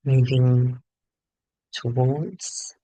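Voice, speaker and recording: A man leading a guided meditation in short phrases with long pauses between them. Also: mid-range voice, steady tone, mellow, no room sound, poor audio.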